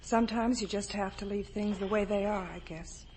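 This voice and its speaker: An actress playing a gentle, pained, resigned young woman in a 1950 radio drama. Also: mid-range voice, fairly even intonation, normal speed, natural voice. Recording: faintly noisy 1950 radio broadcast.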